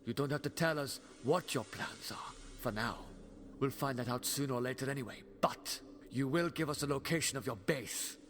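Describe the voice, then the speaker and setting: A man playing a righteous, sharp-tongued alien time traveller in an audio drama, commanding and sardonic. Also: mid-range voice, normal speed, lightly acted. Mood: stern, threatening. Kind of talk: fan audio drama acting. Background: loud dramatic music.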